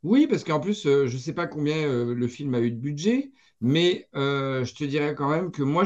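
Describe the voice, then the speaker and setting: A man's voice: fairly high pitch, a little mellow. A man co-hosting a film review in casual, opinionated chat, teasing and emphatic, repeating himself. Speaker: film review show co-host. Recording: dull, slightly lo-fi microphone.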